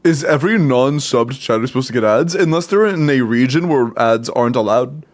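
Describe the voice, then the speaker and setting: A young man with a stuffy nose, casually giving game account advice on a live stream, relaxed and full of fillers. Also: mid-range voice, a little fast. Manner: relaxed, chatty, many fillers and laughs.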